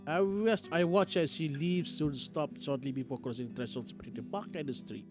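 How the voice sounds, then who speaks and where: A man's voice: a little high, brisk. A man streaming a game, reading its text aloud dramatically and reacting as he goes. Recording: guitar game music behind the voice.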